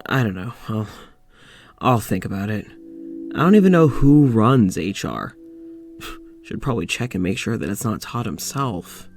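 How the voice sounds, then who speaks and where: A man playing an uneasy, weary scientist in an audio drama, confiding to a recorder in a halting way. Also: lowish voice, big pitch swings, a little mellow, soft background music. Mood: weary, troubled. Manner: hesitant, halting, sighing. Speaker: fiction podcast lead actor. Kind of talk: audio drama, confessional monologue.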